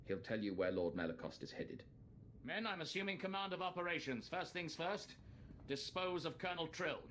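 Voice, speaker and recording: An actor playing a composed, scheming military officer in a video game, formal and measured. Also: male voice, fairly high pitch, lively intonation, a bit bright, a little hiss or hum. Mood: commanding. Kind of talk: scripted video game acting.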